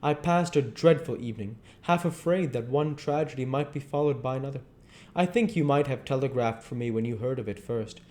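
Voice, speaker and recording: A man voicing a grave, heartbroken gentleman, formal and pleading, from a classic novel. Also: medium pitch, a little fast, voice pushed up, natural voice. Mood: distressed, earnest. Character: grave, heartbroken gentleman friend, earnest and reproachful.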